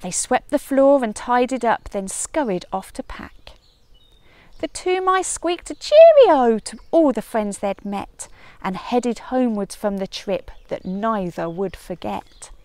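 Female early years reader for picture books: expressive, slow-paced, fairly high pitch. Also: no echo. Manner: expressive, measured storytelling. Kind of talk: children's storybook read-aloud. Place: outdoors in a leafy woodland garden.

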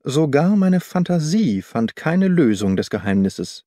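A man reading literary audiobook narration in a measured, carefully articulated storytelling manner. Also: fairly high pitch, very animated, mellow, no echo, clean studio sound. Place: dry studio.

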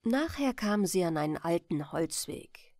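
A woman reading a bedtime fairy tale as an expressive, gentle audiobook narrator. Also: fairly low pitch, moderate pace, no echo, clean studio sound. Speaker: storyteller, audiobook reader.

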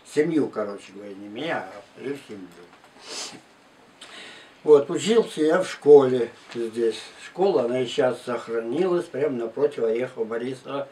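An elderly man in his nineties tells his life story at an unhurried, halting pace, often restarting a phrase. His voice sits at an ordinary male pitch, picked up a little away from the mic with faint room noise behind it. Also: dark tone, far from the mic, low-quality recording. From Tula region and Moscow outskirts, Russia. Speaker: war veteran interviewee. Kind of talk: recounting life story in an interview. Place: living room at home, indoors.

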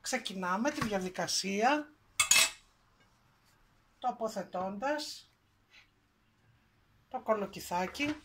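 Calm woman narrating a home cooking demo. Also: medium pitch, long pauses, noisy background. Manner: calm step-by-step narration, listing ingredients. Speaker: cooking show host. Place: home kitchen.

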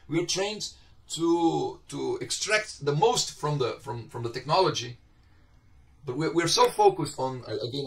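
A middle-aged man with a Brazilian Portuguese accent gives an informal online university lecture, conversational and often checking in with his students. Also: on the high side. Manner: conversational, questions students often, gestures while talking. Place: home office with bookshelves. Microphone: webcam on a video call. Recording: slightly distant video-call audio.

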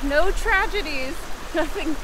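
A woman's voice: very high voice, slow pace, background noise. A middle-aged woman hosting a hiking vlog, chatting casually to the camera outdoors on a trail.

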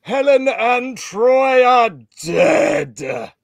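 A man playing a grave, menacing alien warrior in a comic table read, with a gravelly voice. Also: extremely high pitch, lively intonation, drawn-out words, dry recording, clean studio sound, full character voice.

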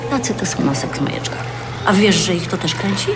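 A woman's voice: lowish voice, expressive, a little fast, very noisy, close to an everyday voice. An actress playing a bold, flirtatious, self-assured woman in her forties in an audio drama, with a teasing, natural delivery. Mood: teasing, confident. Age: 40s.

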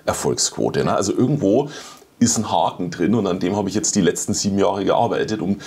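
A man's voice: sits low, animated, a little fast, darkish tone, a little hiss or hum. A middle-aged man, a neuroscientist guest, explaining at length in a studio interview, often adding tag questions like "ne?". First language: German. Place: small TV studio set.

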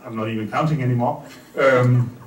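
A man's voice: mid-range voice, a little fast. A man, a startup founder speaking energetically and candidly at a conference panel. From Denmark. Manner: energetic, candid, gestures while talking. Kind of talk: casual conference talk. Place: indoor conference room. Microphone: stand microphone at a panel table. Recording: roomy hall, mic from a distance, faint hum.

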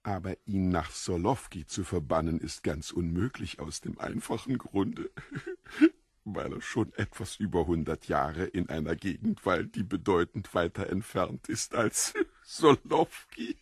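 Deep, husky, theatrical devilish gentleman. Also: very animated, measured pace, dry recording, clean studio sound, exaggerated voice. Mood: amused, probing. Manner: theatrical, effusively polite, mocking. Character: courtly, mischievous foreign stranger, devilishly theatrical. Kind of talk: audio drama acting.

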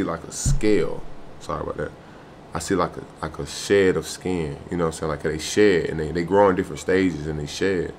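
A man's voice: low-pitched, a little hiss or hum. An American man in his thirties talking casually to camera in a hotel room, slangy, peppering his talk with familiar filler phrases. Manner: slangy, repeats "man", "bro" and "you know what I'm saying". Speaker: truck driver vlogger. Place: small furnished hotel room, indoors.

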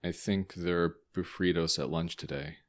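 A man voicing a grieving teenage boy who speaks low, subdued and halting. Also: steady tone, natural voice. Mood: numb grief.

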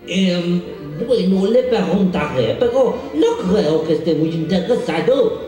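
A man's voice: sits high, big pitch swings, warm tone, far from the mic, full character voice. A young man playing a mocking castle guard who puts on a fake French accent on a school stage. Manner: taunting, drawn-out insults. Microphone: far camera in the audience. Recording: echoey theater hall with music.

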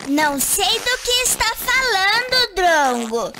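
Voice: extremely high pitch, sing-song, slow, heavy background noise, heavily acted. A performer voicing a bossy, fussy little boy creature in a children's cartoon, with a scolding, exclamatory manner. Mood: grumbling. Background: children's music.

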